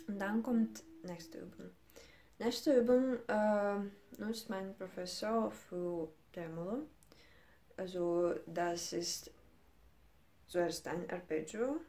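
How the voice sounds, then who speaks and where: Slow-talking young woman from Ukraine teaching guitar. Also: medium pitch. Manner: slow, careful non-native speech with hesitations. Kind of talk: guitar technique lesson. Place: small room indoors. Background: classical guitar playing.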